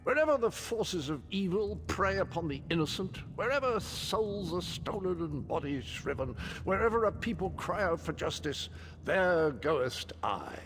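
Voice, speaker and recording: An actor playing an eccentric, cheerful old British gentleman knight in a video game, with jaunty, pompous phrasing. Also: sits high, big pitch swings, moderate pace, background noise. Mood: grand, chatty. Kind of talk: video game character acting. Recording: music behind the voice.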